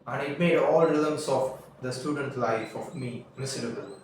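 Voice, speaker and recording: A young man whose first language is Tamil, telling a halting, earnest confessional story and often restarting his words. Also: medium pitch, a little hiss or hum. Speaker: vlogger. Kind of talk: confessional monologue. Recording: echoey room, mic at a distance.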